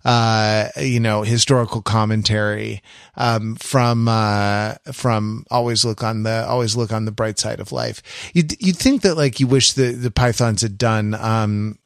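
A man's voice: mid-range voice, dry recording, studio quality. A man co-hosting a podcast, chatting casually in a wry, digressive way as he thinks aloud. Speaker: podcast co-host. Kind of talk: casual pop-culture discussion.

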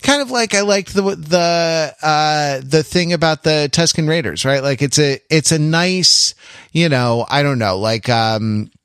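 A man co-hosting a podcast, talking casually and analytically, often halting and restarting his phrases. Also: fairly high pitch, fairly bright tone, clean tone, no room sound, studio quality. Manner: halting, self-correcting, repeats phrases. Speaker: podcast co-host. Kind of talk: casual analytical pop-culture discussion.

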